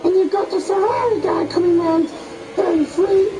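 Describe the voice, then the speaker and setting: A man putting on a squeaky race commentator. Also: normal speed, music in the background, rough recording. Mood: excited. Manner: excited play-by-play commentary. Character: squeaky high-pitched male race commentator.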